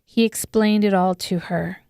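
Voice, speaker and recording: A woman with a smooth, clear voice giving scripted dramatic narration of an audiobook. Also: medium pitch, fairly even intonation, unhurried, dark tone, no echo, studio quality.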